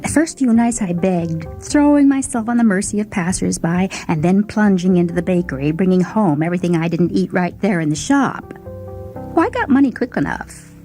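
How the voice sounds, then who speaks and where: A woman performing a short story as an obese, food-obsessed young woman and devoted radio fan, confiding and savoring her words with dramatic pauses. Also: on the low side, expressive, dark tone, natural voice. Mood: wistful, bitter, then matter-of-fact, wry. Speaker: story reader. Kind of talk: dramatic first-person short story reading. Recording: music bed under the voice. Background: instrumental music with plucked guitar.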